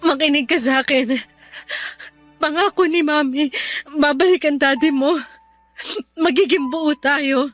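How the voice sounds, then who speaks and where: A woman's voice: high voice, unhurried, long pauses, soft background music. A woman playing a distraught, pleading young mother. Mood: tender. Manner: pleading, emotional. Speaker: heroine. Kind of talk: radio drama acting.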